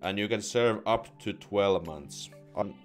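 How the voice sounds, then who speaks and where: A man's voice: fairly low pitch, a little slow, faint music behind. A young Finnish man with a Finnish accent hosting a live stream from a small room, speaking casually into a studio mic, with many restarts and fillers as he thinks aloud. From Finland. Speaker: host answering viewer questions. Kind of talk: casual live stream Q&A, reading chat comments. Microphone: studio condenser mic with headphones.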